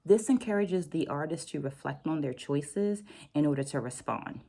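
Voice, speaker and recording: Low-voiced middle-aged woman host, deliberate and mellow. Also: expressive, vocal fry, husky. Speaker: book vlog host and dance educator. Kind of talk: reflective vlog talk with read-out quotes.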